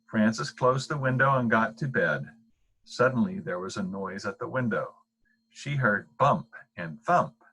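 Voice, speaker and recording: An older man with a husky voice reading a children's bedtime story aloud. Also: fairly low pitch, expressive, unhurried, pushed and bright, rough recording. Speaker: storybook reader.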